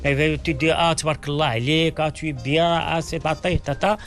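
A man's voice: a little high, fairly even intonation, a little fast, a bit bright, faint music behind. A man from Tangier, Morocco, whose first language is Arabic, answering interview questions in French with many fillers and restarts. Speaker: interview guest, writer.

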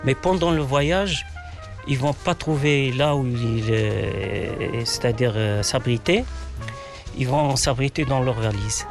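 A man's voice: medium pitch, slow, vocal fry, music in the background. A man whose first language is Arabic, a playwright answering interview questions in a hesitant way, with many fillers and restarts. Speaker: playwright guest.

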